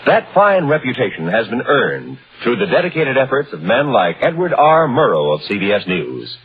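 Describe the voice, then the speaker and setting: A man's voice: medium pitch, huge pitch swings, moderate pace, crowd noise. A man reading a scripted 1950s radio news promo as a formal, declamatory network announcer. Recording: tinny old radio broadcast, phone-like band.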